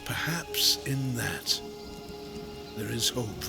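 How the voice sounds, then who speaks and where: Slow, solemn elder maker. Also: male voice, mid-range voice, animated, gravelly, heavily acted. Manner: grave, measured, archaic. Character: solemn, wise male elder of ancient makers. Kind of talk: dramatic fantasy game acting. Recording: loud music behind the voice.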